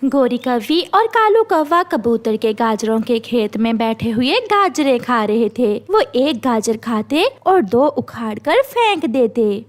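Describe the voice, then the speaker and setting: A woman's voice: a little high, very animated, slow, hardly any pauses. A woman reading scripted narration for a children's cartoon story.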